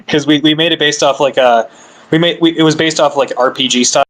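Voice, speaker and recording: A man's voice: fairly high pitch, steady tone, brisk, fairly bright tone. A man chatting casually on a podcast, laughing often and restarting his sentences.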